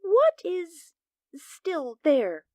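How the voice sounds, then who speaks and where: A woman's voice: extremely high pitch, wildly swooping pitch, extremely slow, mellow, dry recording, heavily acted. A woman voicing Miss Landis, a curt, offended neighbor on the phone in a radio drama. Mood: indignant. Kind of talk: hobby radio drama acting.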